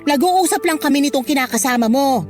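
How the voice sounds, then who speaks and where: A woman's voice: high-pitched, quick pace, lightly acted. An actress playing a blunt, scornful, superstitious older Filipino mother-in-law in a Tagalog radio drama, argumentative with exaggerated intonation. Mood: nagging. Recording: music under the voice.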